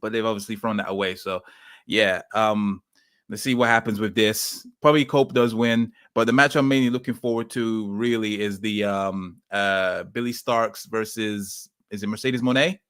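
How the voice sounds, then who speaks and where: A man's voice: lowish voice, fairly even intonation, moderate pace, fairly bright tone, clear, resonant tone, no echo, studio quality. A man co-hosting a casual podcast, rambling with many ums and uhs as he gives his takes. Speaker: podcast co-host. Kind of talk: casual chat.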